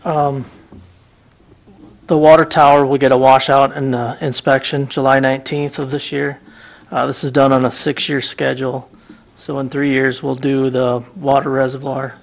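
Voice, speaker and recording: Public works guy reading his update at council, gravelly flat voice, lots of ums. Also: mid-range voice. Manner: flat, steady report with many ums. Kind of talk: council meeting report. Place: city council chamber.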